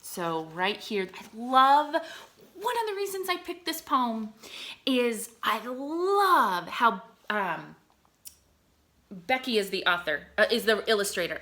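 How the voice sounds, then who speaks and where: A woman teaching through a read-aloud, with expressive delivery, explanatory asides and check-in questions. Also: fairly high pitch. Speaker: teacher reading poems aloud to children.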